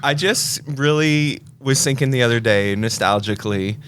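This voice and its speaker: A stuffed-up man co-hosts a casual movie podcast, nasal from a head cold. He rambles and corrects himself mid-sentence, at an ordinary middle pitch. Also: normal speed, a bit bright, slight background noise. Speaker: podcast co-host. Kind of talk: casual chat about movies.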